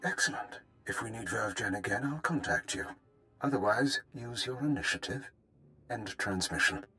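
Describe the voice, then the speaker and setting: An actor playing a ruthless, self-assured rebel leader in a video game, formal, composed and commanding. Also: male voice, on the low side, lively intonation, moderate pace, bright, forceful tone. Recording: music under the voice.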